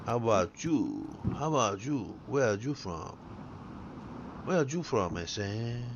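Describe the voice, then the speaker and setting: A man's voice: medium pitch, sing-song, unhurried. A man from South Africa with a South African accent, chatting casually and crudely to his live-stream audience while gaming. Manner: rambling and crude, swears freely. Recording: dull lo-fi with background noise.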